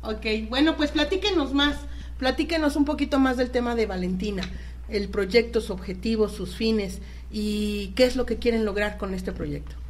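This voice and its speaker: A woman's voice: fairly high pitch, normal speed, slight background noise. A woman with a Mexican accent hosting a conversational radio interview. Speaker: radio host.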